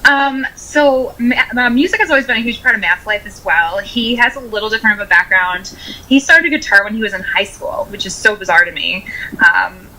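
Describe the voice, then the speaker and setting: A woman's voice: medium pitch, fairly quick, sharp tone, low-quality recording. A woman in her twenties with an American accent, chatting casually as a musician being interviewed, often saying "like" as she talks. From Rochester, United States. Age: 20s.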